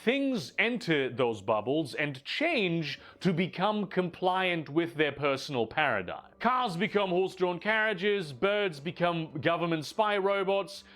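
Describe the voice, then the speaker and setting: A man's voice: fairly high pitch, big pitch swings, unhurried, few pauses, a bit bright. A man narrating a scripted lore video with wry, dramatic storytelling.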